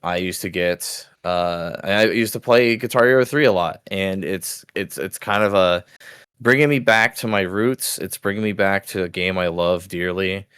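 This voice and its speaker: A man in his thirties with a bassy voice, co-hosting a casual podcast, rambling and swearing freely with frequent laughs. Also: moderate pace, a bit bright, clear, resonant tone, no room sound, clean studio sound. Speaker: podcast co-host. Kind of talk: casual chat.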